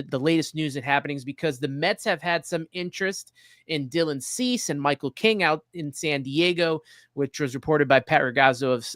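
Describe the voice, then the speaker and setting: Higher-voiced male sports host. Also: a bit bright, no room sound, studio quality. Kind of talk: sports talk hosting, reading chat and stats.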